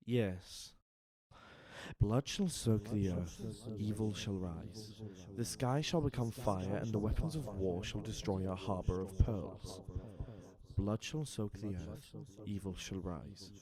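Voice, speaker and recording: A man's voice: lowish voice, slow, crowd noise. A man playing a sly, impudent vampire seer in an audio drama, speaking in a florid, mock-courteous, dramatic manner. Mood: grave, prophetic.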